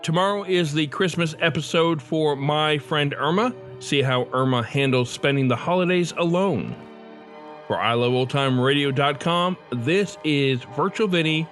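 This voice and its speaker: A man's voice: a little high, normal speed, fairly bright tone. A man with an American accent hosting a podcast, reading a scripted sign-off. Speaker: podcast host. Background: faint music.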